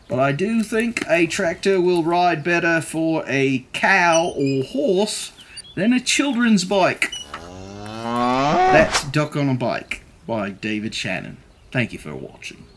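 Animated man reading a storybook aloud. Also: on the high side, takes it slowly, slight background noise. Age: 30s. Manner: theatrical, jokey, animated. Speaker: children's book reader. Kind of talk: expressive storybook read-aloud with playful asides. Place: living room, seated on a couch.

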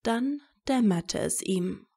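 A woman's voice: a little high, lively intonation, takes it slowly, gravelly, warm tone, slightly rough. A woman reading scripted story narration as the narrator of an audio fiction.

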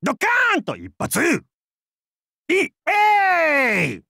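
Game pirate captain, gravelly, husky, hammy, jokey, wildly swinging pitch. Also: male voice, wildly swooping pitch, takes it slowly, sharp tone, dry recording, clean studio sound, heavily acted. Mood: hammy battle cries. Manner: hammy, theatrical, jokey otaku-style speech. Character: boisterous adult male pirate captain, crude and goofy. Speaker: game character voice. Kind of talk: voiced game character lines.

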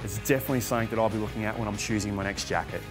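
A man's voice: on the low side, fairly even intonation, rapid-fire. Upbeat man talking to camera. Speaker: motorcycle magazine presenter. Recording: music playing under the voice.

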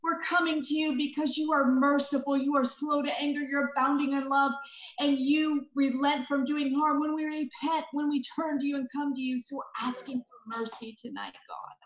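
A woman's voice: high voice, fairly even intonation, moderate pace. This is a woman, praying aloud. She pleads earnestly and repeats her appeals for mercy. Her voice carries through a noticeably echoey room. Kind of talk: intercessory prayer.

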